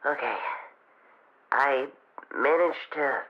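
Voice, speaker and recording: A man playing a frightened young fox boy who records a halting, weary log in an audio drama. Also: medium pitch. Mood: weary, grave. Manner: halting, hesitant, weary delivery. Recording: muffled noisy handheld radio recording.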